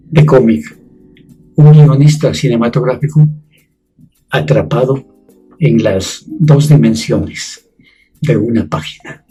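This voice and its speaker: A man giving an unscripted explanation, speaking haltingly with long hesitations. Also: medium pitch, mellow, rough recording. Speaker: comics scriptwriter giving testimony.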